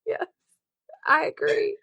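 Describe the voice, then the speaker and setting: A woman's voice: extremely high pitch, slow pace, creaky, sharp tone, no room sound, dull audio. A woman hosting a podcast, chatting casually with many "like" fillers. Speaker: podcast host.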